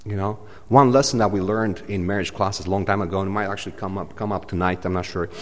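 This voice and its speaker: A man preaching a sermon in a church, talking in a conversational way, often saying "you know" and swinging between loud and soft. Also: sits low, fairly even intonation, fast. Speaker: pastor. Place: church hall. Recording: echoey church hall.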